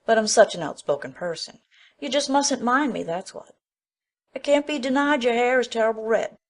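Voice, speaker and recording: A woman's voice: fairly high pitch, lively intonation, moderate pace. A woman playing an outspoken, kindly but bossy older village woman who talks in blunt, folksy country speech in an audiobook drama. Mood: hearty, forgiving. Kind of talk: full-cast audiobook acting.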